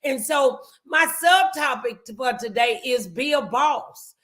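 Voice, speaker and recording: A middle-aged American woman hosting a motivational talk show, speaking in an emphatic, deliberate way. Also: sits high, expressive, fairly bright tone.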